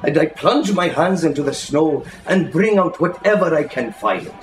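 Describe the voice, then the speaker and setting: A man giving a theatrical, expressive literary reading, savouring the phrases as a storyteller. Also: fairly high pitch, normal speed. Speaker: reader, storyteller. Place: live reading in an indoor shop. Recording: echoey room with faint background noise.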